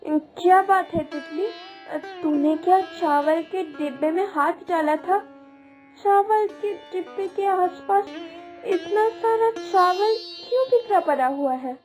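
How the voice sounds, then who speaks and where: A woman's voice: extremely high pitch, slow, darkish tone. A woman voicing a poor, worried and stern village mother in a cartoon, fretful and questioning. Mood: worried scolding. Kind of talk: Hindi cartoon moral story acting. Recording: music behind the voice.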